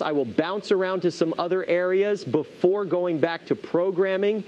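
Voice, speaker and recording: A man's voice: a little high, darkish tone, muffled recording, a little hiss or hum. A man working as a TV meteorologist, giving live, conversational and explanatory severe weather commentary.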